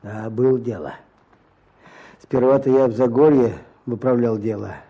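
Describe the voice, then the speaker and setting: An actor playing a weary, stubborn peasant petitioner back from prison, speaking plaintively in halting rustic phrases in a radio drama. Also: male voice, medium pitch, fairly even intonation, husky, lo-fi sound. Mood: earnest recounting. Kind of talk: Russian radio drama acting.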